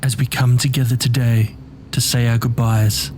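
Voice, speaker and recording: A man playing a gentle, solemn minister who speaks formally at a funeral in an audio drama. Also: mid-range voice, level tone, normal speed, a little hiss or hum, natural voice. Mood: solemn sympathy. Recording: music under the voice.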